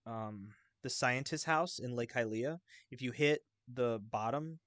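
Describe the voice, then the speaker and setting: A man's voice: medium pitch, measured pace, fairly bright tone, dry recording. A man in a casual chat about a video game, eagerly explaining details at length.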